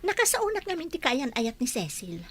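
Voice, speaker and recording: A woman's voice: high voice, wildly swooping pitch, normal speed, dry recording. A woman with an Ilocano accent acting a scheming, meddling character in a comedy radio drama.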